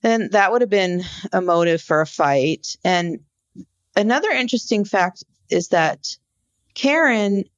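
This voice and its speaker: A woman's voice: medium pitch, animated, a little slow, dry recording, muffled recording. Woman podcaster telling a story, close and dry. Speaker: podcast co-host. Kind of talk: conversational true-crime case discussion.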